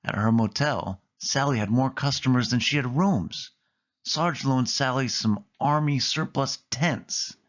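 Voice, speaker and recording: A man's voice: medium pitch, a little slow. A man narrating a read-along children's story from a book. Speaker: storybook reader. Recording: dull, muffled lo-fi recording.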